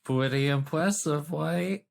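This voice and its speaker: A cocky young student of magic as a tabletop player voices them, blunt and slangy. The voice sits mid-pitched with a gravelly creak, and sounds quick to defend itself. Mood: defensive. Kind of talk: improvised tabletop roleplay in character.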